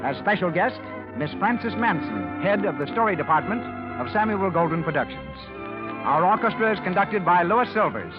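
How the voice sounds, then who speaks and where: A male announcer delivers formal sponsor copy in a polished, declamatory style. His pitch is high and lively. The recording is dull 1930s radio with music behind. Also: moderate pace.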